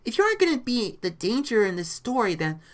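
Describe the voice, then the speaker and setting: A woman's voice: high-pitched, big pitch swings, normal speed, pitched above the performer's own voice. A woman voicing a tired woman traveler in a storybook, blunt, exasperated and complaining. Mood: grumbling.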